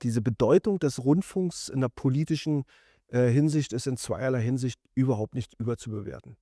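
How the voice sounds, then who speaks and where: A man in his fifties from East Germany talks in a dark, mellow voice at medium pitch. He gives reflective interview commentary, pausing and rephrasing for emphasis. The sound is dry and close. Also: no room sound. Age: 50s.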